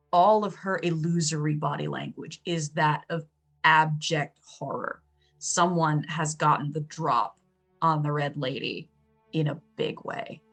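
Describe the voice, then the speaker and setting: A woman playing a tabletop roleplay game, improvising third-person story narration in measured, dramatic short phrases. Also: deep voice.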